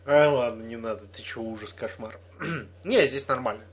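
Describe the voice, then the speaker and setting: A man's voice: medium pitch, normal speed, husky. A young man in casual chat with a friend, teasing him and laughing often.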